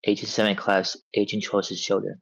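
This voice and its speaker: Low-voiced man narrating a script. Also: completely flat delivery, moderate pace, gravelly, dry recording, lo-fi sound. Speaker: narrator reading stage directions. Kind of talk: scripted narration, live table read.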